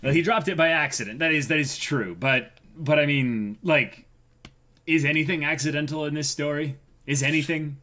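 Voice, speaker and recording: A man co-hosting a manga read-along, reading aloud and reacting casually with goofy, excitable exclamations. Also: fairly high pitch, moderate pace.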